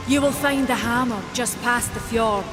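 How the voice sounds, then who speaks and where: An actress playing a wise, solemn maker in a video game, measured and earnest with a Scottish accent, in a long reverb. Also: female voice, on the high side, over music, close to an everyday voice. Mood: regretful, concerned. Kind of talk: dramatic video game cutscene acting.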